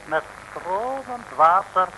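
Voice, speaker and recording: A sly, gloating henchman with a high voice and a mock Chinese accent. He speaks slowly, in broken, flowery mock-servile phrases. It is old radio serial acting on a noisy recording. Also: animated.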